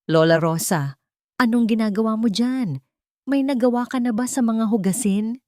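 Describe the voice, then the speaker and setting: A woman voicing a sharp, menacing daughter-in-law in a storybook drama, cold and pressuring under a sugary tone. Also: a little high, moderate pace, no echo, clean studio sound, voice pushed up, natural voice. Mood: cold, suspicious.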